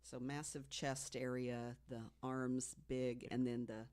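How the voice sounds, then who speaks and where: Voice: mid-range voice, flat delivery, slow, a little mellow, smooth. A podcast guest talking in a casual storytelling discussion, explaining things earnestly and with emphasis.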